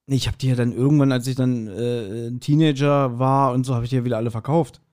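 A man in his forties talking casually and nostalgically as a podcast co-host, telling stories in an animated, self-deprecating way. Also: medium pitch, quick pace. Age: 40s.

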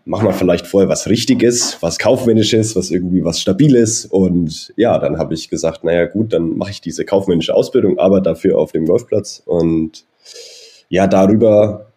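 A man in his thirties with a very low, mellow voice. He talks in a friendly, rambling way and leaves lots of fillers and pauses. He is a golf coach being interviewed about his career. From Germany (Nuremberg). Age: 30s. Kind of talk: interview answers, personal background.

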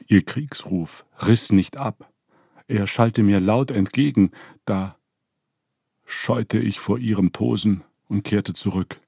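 A man's voice: on the low side, warm tone, slightly rough, dry recording, studio quality, full character voice. A man reading as a shaken elder sky god.

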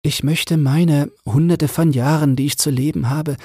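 Man voicing a breathy, wistful young mermaid girl. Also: fairly high pitch, steady tone, no echo, clean studio sound, voice pushed up. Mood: sad, yearning. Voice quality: soft, very breathy. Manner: gentle, plaintive, slow. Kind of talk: fairy-tale audiobook character voice.

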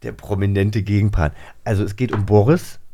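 Chatty low-voiced male podcast host. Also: steady tone, fairly quick, mellow, no echo, studio quality. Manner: chatty, self-mocking, lots of fillers. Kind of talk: casual chat, quiz game.

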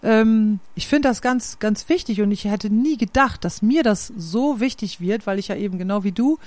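A woman talks in a relaxed, reflective way, pausing and filling gaps as she searches for her words. Her voice sits mid-range, and the recording is dry and close, with almost no room sound. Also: medium pitch, no room sound. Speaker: podcast host and singer. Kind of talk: casual conversation.

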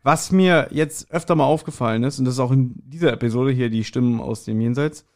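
A man's voice: medium pitch, brisk, darkish tone. A man co-hosting a podcast, chatting casually as he reviews audio dramas and acts out short quotes. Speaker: podcast co-host.

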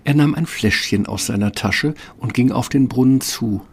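A man's voice: medium pitch, normal speed, darkish tone, slight background noise. A man narrating a fairy-tale radio play in a scripted storytelling style.

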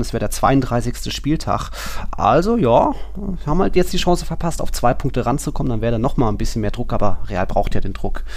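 A man's voice: mid-range voice, gravelly, darkish tone. A man co-hosting a football podcast, recounting and assessing things in a laid-back, conversational way. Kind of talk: casual sports discussion.

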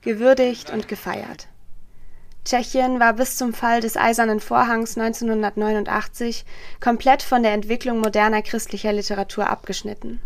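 Woman narrating a documentary, slightly high voice. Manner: calm, even and measured. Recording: soft music underneath.

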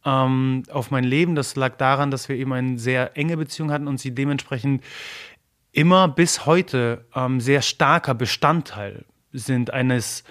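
A man, a native Polish speaker, answers interview questions in a sincere, emotional tone. He speaks haltingly, with frequent filler sounds and restarts, and his voice sits at an ordinary middle pitch for a man. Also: steady tone, fairly bright tone. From Germany. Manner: earnest, halting, many fillers and repeated words. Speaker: interview guest. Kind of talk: personal interview talk.

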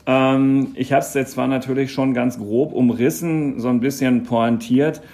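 Gravelly-voiced man hosting a podcast. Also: mid-range voice, measured pace. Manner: relaxed, frequent hesitations. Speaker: podcast host. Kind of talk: podcast interview.

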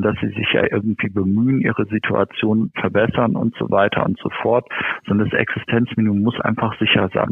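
Low gravelly man on a phone line. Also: no echo. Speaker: interviewed welfare association spokesman. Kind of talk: explanatory interview answers. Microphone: telephone. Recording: thin band-limited phone line.

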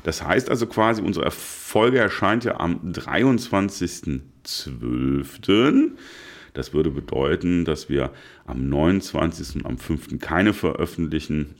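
A man's voice: extremely low pitch, expressive, measured pace. A man with a cold, co-hosting a podcast in casual chat, rambling and restarting his sentences. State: has a cold. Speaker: podcast co-host.

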